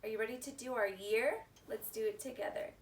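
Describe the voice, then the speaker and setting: A woman's voice: a little high, fairly quick. A woman teacher leading story time for young children, prompting them and repeating words for them to echo. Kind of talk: instructional talk to young children. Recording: distant mic with faint background noise.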